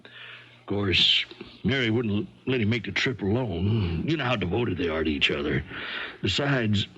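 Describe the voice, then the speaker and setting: A man's voice: on the low side, animated, measured pace, a bit bright, breathy edge, exaggerated voice. An actor playing a worried but reasonable middle-aged father, explaining things hesitantly in a 1970s radio drama. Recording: dull lo-fi 1970s radio recording.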